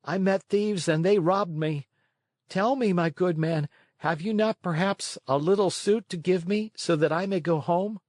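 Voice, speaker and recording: A man voicing an impulsive wooden puppet boy in a children's storybook, earnest and excitable. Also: high voice, measured pace, dry recording, studio quality, voice pushed up. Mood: glib.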